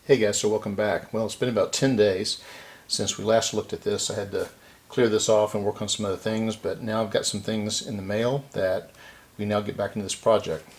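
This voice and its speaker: Low, gravelly man thinking aloud. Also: fairly even intonation, a little fast. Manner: unscripted, thinking aloud while working. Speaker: repair channel host. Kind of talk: casual hands-on repair walkthrough.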